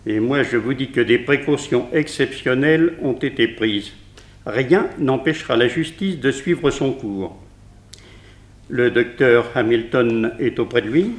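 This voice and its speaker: A man's voice: mid-range voice, a little slow, close to an everyday voice. Authoritative male prison warden, played by an actor, 1960s radio drama: mid-range, commanding, formal, strong room echo. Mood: brisk, firm. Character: stern, authoritative male prison warden presiding over execution. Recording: echoey hall, old radio production.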